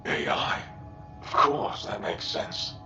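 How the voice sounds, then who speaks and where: A man's voice: takes it slowly, pushed and bright. An actor playing a stern, guarded alien resistance commander in a video game, curt and formal in his delivery. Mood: defiant. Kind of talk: cinematic video game acting. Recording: roomy, dull-toned game audio with faint music.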